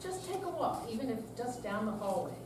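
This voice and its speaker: A woman's voice: fairly high pitch, very animated, brisk. An older woman in a staged reading, playing a candid seventy-year-old woman who is weary but resolute about managing depression. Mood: earnest, troubled. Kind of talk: scripted dramatic reading. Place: senior center room, live performance. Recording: echoey room, distant mic, clear background noise.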